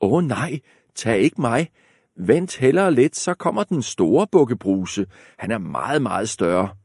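A man's voice: medium pitch, big pitch swings, darkish tone, slightly rough, no room sound, clean studio sound. A man voicing the middle billy goat in a firm, somewhat raised voice. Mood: bargaining. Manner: firm, less thin than the smallest goat.

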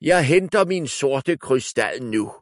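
A man's voice: medium pitch, expressive, no room sound, clean studio sound, pitched above the performer's own voice, natural voice. A man voicing a scheming, power-hungry male sorcerer who gives orders with a snappish, commanding manner.

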